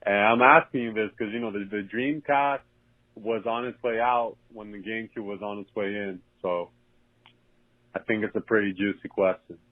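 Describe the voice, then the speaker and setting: A man's voice: fairly low pitch, fairly even intonation, moderate pace, no echo. A man leaving a casual, chatty voicemail as a caller, recorded over a narrow, tinny phone line. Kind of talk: casual chat.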